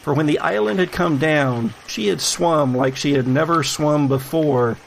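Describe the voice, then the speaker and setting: A man's voice: a little high, measured pace, vocal fry, a little hiss or hum, poor audio. A man narrating a scripted audio drama in a dramatic storytelling style. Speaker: narrator and game master.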